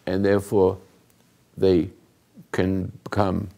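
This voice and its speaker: An older man hosting a talk, giving rambling, earnest moral teaching to a live group, often dropping into hushed asides. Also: deep voice, monotone, takes it slowly. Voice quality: gravelly, husky. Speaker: host and spiritual counselor.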